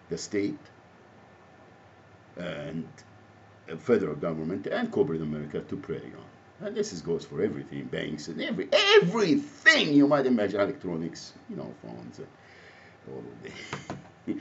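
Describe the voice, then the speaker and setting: A man from Egypt with an Egyptian Arabic accent, speaking off the cuff in a halting, repetitive, rambling monologue. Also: sits low, vocal fry. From Alexandria, Egypt. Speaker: activist, self-described advocate. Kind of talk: impromptu spoken monologue to camera. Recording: muffled audio with faint background noise.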